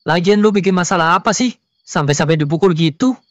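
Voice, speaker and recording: A man's voice: sits high, a little fast, dry recording, natural voice. Casting: male actor for protective young coworker in a cartoon, grudge-holding and blunt, closely dry sound. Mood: resentful. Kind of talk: cartoon dialogue, casual slang.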